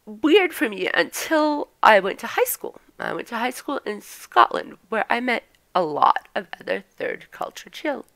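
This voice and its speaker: A woman whose first language is Norwegian talks about her upbringing in a halting, reflective way. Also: on the high side, very animated, gravelly, fairly bright tone, no room sound, low-quality recording. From Norwegian parents, born in the US, raised between the US and Norway. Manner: halting, many fillers and restarts, reflective. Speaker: vlogger. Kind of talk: casual personal monologue.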